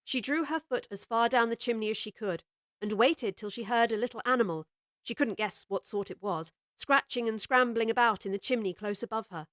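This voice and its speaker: A woman narrating a full-cast audiobook of a children's classic in a measured, expressive way. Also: a little high, dry recording, studio quality. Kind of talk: scripted storybook narration.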